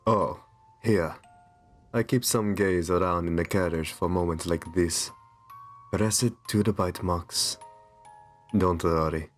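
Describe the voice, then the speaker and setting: Male actor as doting aristocratic vampire, ASMR roleplay. Low-pitched, unhurried, soothing and genteel, over music. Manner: gentle, reassuring, genteel endearments. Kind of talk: ASMR roleplay, scripted intimate monologue.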